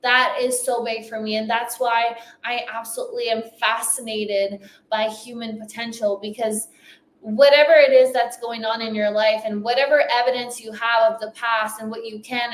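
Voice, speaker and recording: A woman's voice: a little high, little pitch movement, normal speed, roomy sound. A woman whose first language is French, speaking with a light French accent and giving an emphatic motivational talk at a summit, repeating phrases for effect. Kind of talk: motivational speech.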